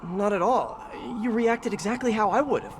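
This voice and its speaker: A man's voice: sits high, normal speed, background noise, close to an everyday voice. An actor playing a caring, wry young male superhero, conversational and earnest, in a video game cutscene.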